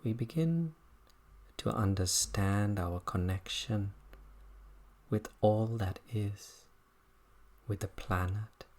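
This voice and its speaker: Meditation guide, low pitch, very slow delivery, contemplative, leaving space between short phrases. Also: darkish tone. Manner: unhurried, contemplative, halting phrases. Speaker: meditation teacher. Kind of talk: guided body scan meditation.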